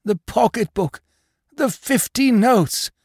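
Fat jovial racegoer for a storybook, male reader, excitable bluster, high and animated. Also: moderate pace, no room sound, clean studio sound. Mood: moaning despair. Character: big fat red-faced uncle, jovial bettor, excitable.

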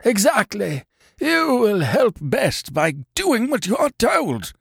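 A man voicing a gravely ill, masterful detective in a croaking, gasping, spasmodic voice. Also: mid-range voice, big pitch swings, a little slow, no room sound, clean studio sound, full character voice. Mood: sharp, commanding. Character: gravely ill, imperious male detective, feeble yet masterful.

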